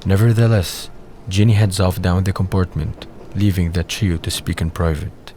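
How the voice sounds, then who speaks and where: A man's voice: deep voice, fairly even intonation, moderate pace, mellow, a little hiss or hum. A man reading scripted narration for an audio drama.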